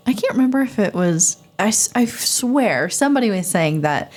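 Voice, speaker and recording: A woman's voice: medium pitch, animated, darkish tone. A woman hosting a casual interview, informal and responsive in the way she chats with guests. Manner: informal, responsive, quick to laugh. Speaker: podcast host.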